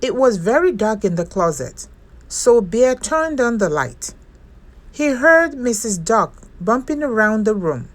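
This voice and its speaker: A woman hosting storytime, narrating a children's picture book with expressive, deliberate phrasing for young listeners. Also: on the high side. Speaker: storytime host and reader.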